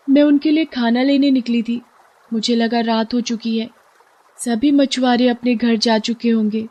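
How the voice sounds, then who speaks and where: A woman's voice: fairly high pitch, dark tone, highs cut off, voice pushed up. A woman voicing an earnest, sweet mother fish with magical powers in a children's moral story. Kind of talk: moral storybook cartoon voice acting.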